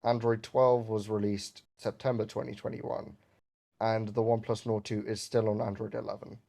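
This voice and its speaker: A man chatting casually about phones and software on a voice call, rambling with fillers and some swearing. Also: lowish voice, little pitch movement, normal speed, vocal fry. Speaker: jailbreak developer on a stream. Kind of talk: casual tech chat.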